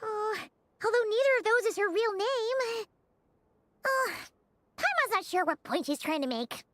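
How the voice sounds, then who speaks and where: A woman voicing a tiny, perky, impatient childlike fairy companion in a video game, squeaky and expressive. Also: measured pace, dry recording, full character voice. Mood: puzzled. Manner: refers to self in third person, expressive. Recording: music behind the voice. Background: background music.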